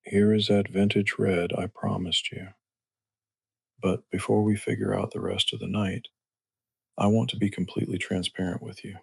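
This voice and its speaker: A man acting a chivalrous romance-drama hero with a very gravelly, husky low voice. He speaks evenly and earnestly, reassuring and measured, in a protective way. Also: flat delivery, no echo, heavily acted. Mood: sincere. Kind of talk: romance audio drama dialogue.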